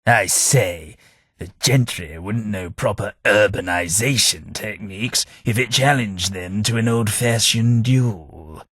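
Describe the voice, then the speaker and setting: An English actor voicing a posh, sardonic ghoul gentleman companion in a video game, with a plummy, dry delivery. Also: lowish voice, slightly rough, dry recording. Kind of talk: game voice lines.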